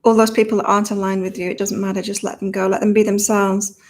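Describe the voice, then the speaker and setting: Slightly croaky woman chatting on a livestream. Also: mid-range voice, little pitch movement, fast talker, a little mellow. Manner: chatty, reads viewer comments aloud, laughs often. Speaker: psychic reader and channel host. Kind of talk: casual livestream chat with card readings.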